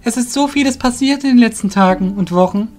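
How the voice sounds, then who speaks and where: A man's voice: extremely high pitch, a little fast, darkish tone, a little hiss or hum, voice pushed up. A man voicing a troubled young warrior in an audio drama, earnest and plaintive, blaming himself. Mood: guilt-ridden.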